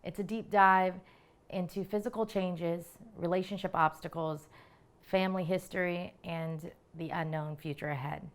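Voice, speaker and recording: Low-voiced woman hosting and reading aloud. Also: fairly even intonation, moderate pace. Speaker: segment curator and host. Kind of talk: introduction and reading from her own novella.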